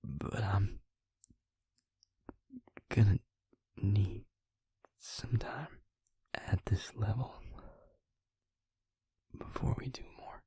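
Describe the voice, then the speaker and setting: A man playing a tender, hesitant vampire lover in an intimate audio roleplay, speaking in a halting, husky whisper. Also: lowish voice, dry recording, full character voice. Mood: hesitant, earnest. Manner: halting, gentle, slow with long pauses.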